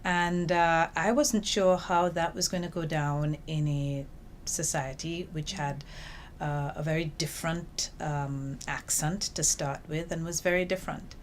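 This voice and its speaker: An older woman speaks with a Trinidadian accent in a low, warm-set register, telling her story in a lively way. A faint hiss sits under the recording from a small office. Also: a little slow. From Trinidad and Tobago. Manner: lively storytelling, acts out quoted remarks. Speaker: interviewee, UN information officer. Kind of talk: reflective interview answers.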